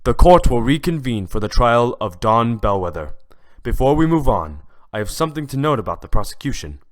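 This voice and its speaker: A man's voice: medium pitch, natural voice. A man playing a stern, formal authority figure in an amateur courtroom audio drama. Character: stern, formal male judge and gruff prosecutor.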